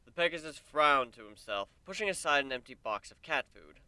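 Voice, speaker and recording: A man narrating a story as a dramatic reading, in a measured, descriptive manner. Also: on the high side, lively intonation, bright, forceful tone, clean tone, no room sound. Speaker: fan fiction narrator.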